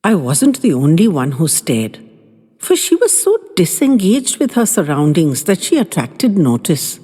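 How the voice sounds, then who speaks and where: A woman narrating audio fiction in an unhurried, expressive storytelling manner. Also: lowish voice, slightly rough. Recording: long reverb, faint music underneath.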